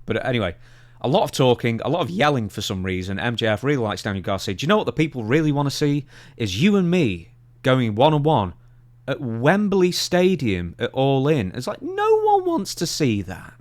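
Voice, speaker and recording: Animated man in casual podcast talk. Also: mid-range voice, a little fast. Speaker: podcast co-host.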